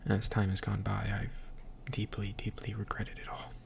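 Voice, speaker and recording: Male, slightly tipsy, for an intimate audio diary: introspective, halting delivery, monotone and whispery. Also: on the low side, vocal fry. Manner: halting, hushed, introspective, often trailing into whisper. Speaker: audio diarist.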